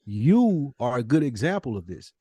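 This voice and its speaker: A man's voice: mid-range voice, big pitch swings, moderate pace, warm tone, slightly rough, dry recording. A man co-hosting a podcast in casual, slangy debate, arguing his points emphatically and swearing freely. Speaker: podcast co-host.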